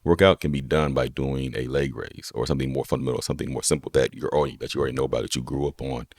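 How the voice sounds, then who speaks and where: A young man with a deep voice, a personal trainer chatting casually as a podcast guest, relaxed and rambling with lots of "you know what I'm saying.". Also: rapid-fire, husky, no echo, studio quality. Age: young adult. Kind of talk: casual conversation.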